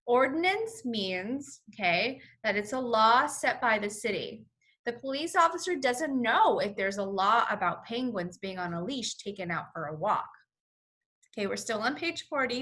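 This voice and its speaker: A woman teacher leads a read-along for young children. Her voice is fairly high, bright and very lively, and she explains words plainly as she goes. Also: moderate pace. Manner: explanatory, checking understanding with "okay". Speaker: first grade teacher.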